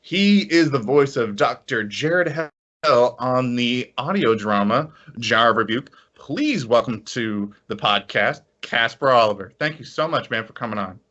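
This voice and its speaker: Bright-voiced excitable man, slightly dull recording. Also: mid-range voice, a little slow. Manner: excitable, stammering with frequent repeats. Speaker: podcast co-host. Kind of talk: casual enthusiastic chat.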